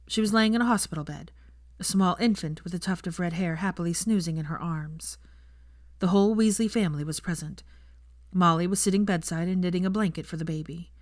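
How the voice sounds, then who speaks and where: Low-voiced woman narrating, very dry sound. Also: dry recording. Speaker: fan fiction narrator. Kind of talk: scripted story narration.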